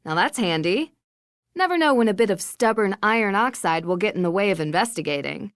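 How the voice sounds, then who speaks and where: A woman's voice: mid-range voice, expressive, fairly quick, no room sound, natural voice. Earnest young detective heroine voiced by a woman, dry studio sound. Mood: curious, musing. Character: level-headed young female detective, earnest and polite. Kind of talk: video game voice acting.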